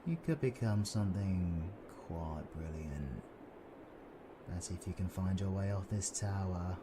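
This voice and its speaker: A man playing a cocky, menacing, flirtatious British supervillain in an intimate roleplay audio drama, breathy and taunting. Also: low voice, expressive, a little slow, background noise. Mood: smug, taunting. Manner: taunting, breathy, swings between whispering and shouting.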